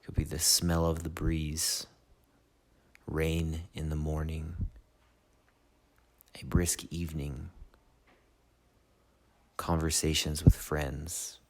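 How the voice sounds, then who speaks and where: A man leading a guided meditation in a soothing, measured way, with long pauses between short phrases. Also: a bass voice, little pitch movement, a little mellow. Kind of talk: slow guided meditation narration.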